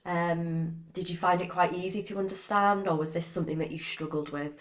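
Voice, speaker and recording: A woman teaching a biology revision lesson over a live stream. Her lowish voice is hoarse from a cold. She keeps a chatty tone and greets her viewers by name. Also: steady tone, a little fast.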